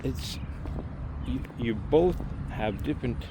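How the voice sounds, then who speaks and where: A man's voice: medium pitch, expressive, slow pace. A man in his late fifties giving money and marriage advice in a casual, rambling walk-and-talk, with many fillers and restarts. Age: 50s. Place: outdoors on a walk near traffic. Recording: outdoor street noise with passing cars.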